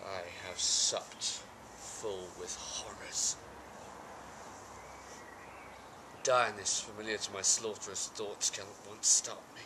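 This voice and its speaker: A man's voice: lowish voice, level tone, fairly quick, pitched below the performer's own voice, close to an everyday voice. A man playing a brooding, war-weary tyrant king in an intense, theatrical Shakespearean tragic monologue. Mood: grim, haunted. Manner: theatrical, intense, shifting between hushed and outbursts. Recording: amateur home video with background noise. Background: birds and ambient noise.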